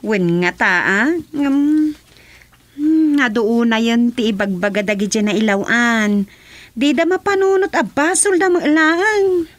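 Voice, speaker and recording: A woman's voice: fairly high pitch, unhurried, no echo, natural voice. A woman acting as a chatty, good-humoured townswoman in an Ilocano radio comedy drama, with an Ilocano accent. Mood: weary, reflective.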